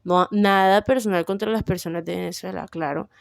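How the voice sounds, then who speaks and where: Young woman, Colombian coastal accent, gravelly, lowish voice, dry close sound, rambling personal chat. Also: fairly even intonation, a little fast, no room sound. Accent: Colombian Caribbean coast. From Barranquilla, Colombia. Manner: rambling, thinking aloud, frequent fillers. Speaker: vlogger.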